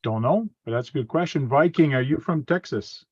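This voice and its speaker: A man's voice: fairly high pitch, lively intonation, brisk, darkish tone, slightly rough, dry recording, muffled recording. A man hosting a live stream on a video call, chatty and asking the guest questions. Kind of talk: casual video-call chat.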